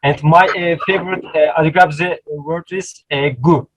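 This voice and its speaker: A man's voice: on the high side, steady tone, moderate pace, a bit bright, rough recording. A man from Turkey introducing himself hesitantly with fillers in an online class. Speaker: student.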